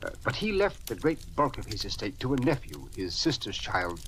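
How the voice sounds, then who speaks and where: A man's voice: medium pitch, big pitch swings, normal speed, a bit bright. An actor playing an earnest, troubled older male judge with a hesitant, formal manner in a 1940s radio drama. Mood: confidential, uneasy. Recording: noisy old radio recording.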